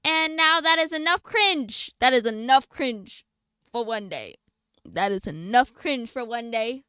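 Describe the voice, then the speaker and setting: A woman's voice: fairly high pitch, lively intonation, measured pace, sharp tone, clear, resonant tone, dry recording, studio quality. A woman streaming a video game, chatting casually with viewers in a playful, teasing way. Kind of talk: casual live stream chat while playing. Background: game music.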